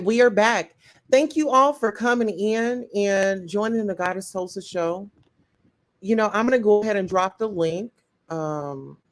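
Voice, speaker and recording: A woman hosting a live-stream commentary show, outspoken and talking straight to her chat viewers. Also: fairly low pitch. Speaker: livestream commentary host.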